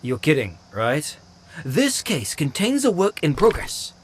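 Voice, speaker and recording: A man playing a smug, eccentric robot weapons inventor who talks in a glib, excitable way. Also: a little high, a little slow, slight background noise.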